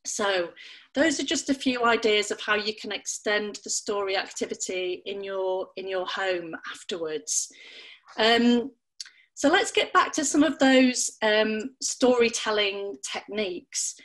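A middle-aged woman leading an online parenting webinar on storytelling from a small room at home, speaking through a laptop webcam with an expressive, playful delivery that leaps up in pitch for emphasis. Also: unhurried, rough recording. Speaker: storytelling workshop leader. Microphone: laptop webcam, video call.

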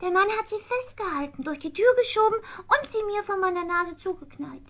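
A cheeky, insistent little tomcat in a children's radio play. The voice is piercingly high and bright, full of nosy curiosity. It comes from a dull old record transfer. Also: female voice, lively intonation, brisk, exaggerated voice. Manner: inquisitive, pert, persistent.

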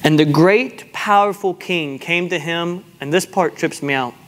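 Man, very high voice, emphatic preacher, exhorting, repeated phrases, sermon delivery. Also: a little slow, fairly bright tone. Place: large church sanctuary, speaking from the pulpit to a seated congregation.

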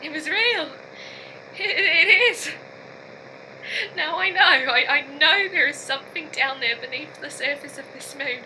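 A woman's voice: high-pitched, pushed and bright, full character voice, low-quality recording. A woman playing a frightened, grieving astronaut engineer in a science fiction audio drama, short of breath and speaking in broken sentences. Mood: pleading, fearful. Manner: halting, broken sentences with repeated words. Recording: faint hum and noise behind.

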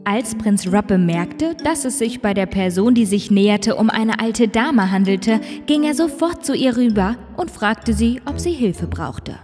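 A woman narrating a children's fairy-tale cartoon in a measured, clear way. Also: fairly high pitch, lively intonation, a little mellow, big-room sound, faint music behind.

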